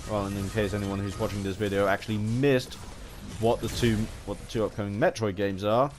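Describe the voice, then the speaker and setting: A man's voice: lowish voice, steady tone, brisk, soft background music. A man giving rambling, casual gaming commentary to viewers, often stumbling and restarting his phrases, with an earnest fan's enthusiasm. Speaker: gaming vlogger.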